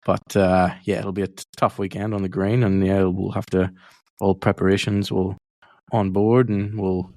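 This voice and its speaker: A man's voice: deep voice, level tone, normal speed, dark tone, no room sound. A man co-hosting a casual podcast, laid-back and easygoing, leaning on fillers. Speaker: podcast co-host.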